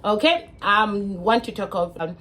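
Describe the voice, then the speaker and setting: A woman's voice: mid-range voice, animated, unhurried, fairly bright tone. A Zimbabwean woman in her thirties, an English teacher, speaking earnestly to her viewers with a lot of fillers. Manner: earnest, advising, with frequent fillers. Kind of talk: casual talk to camera.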